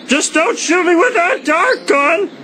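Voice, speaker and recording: A man playing a slovenly, befuddled cartoon barfly who talks in a woozy, drawn-out way. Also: extremely high pitch, expressive, background noise. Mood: woozy pleading. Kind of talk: cartoon game cutscene acting.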